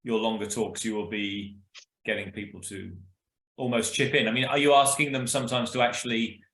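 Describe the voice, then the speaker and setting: A man hosting an interview, asking questions hesitantly and trailing off quietly. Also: fairly low pitch, steady tone, fairly quick, reverberant, poor audio. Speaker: host. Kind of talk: casual interview.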